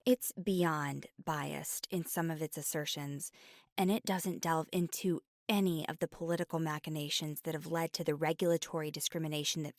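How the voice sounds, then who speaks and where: A woman's voice: sits low, no room sound, studio quality. A young woman playing a friendly, easily flustered college bookshop clerk in a chatty ASMR roleplay. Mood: opinionated. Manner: chatty, stammering when flustered. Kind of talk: ASMR roleplay, scripted one-sided conversation.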